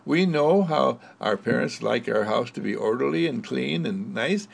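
A man's voice: medium pitch, lively intonation, moderate pace, gravelly, husky, heavily acted. A man voicing a proud, tidy young girl in a prim manner.

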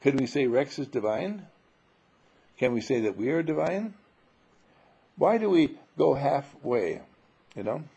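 A man giving an informal spiritual sermon full of rhetorical questions, emphatic and dramatic, with a husky, gravelly voice. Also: medium pitch, lively intonation, measured pace, long pauses, mellow, dull audio. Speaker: spiritual teacher.

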